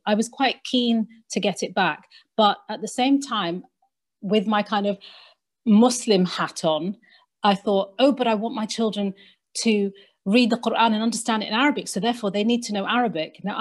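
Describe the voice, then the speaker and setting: Woman, panel guest, reflective, measured, pauses to think. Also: mid-range voice, a little mellow. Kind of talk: reflective conversational discussion.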